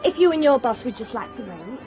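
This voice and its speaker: High-voiced, attentive club waitress. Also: female voice, sing-song, brisk, mellow, faint music behind. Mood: polite. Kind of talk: radio comedy drama.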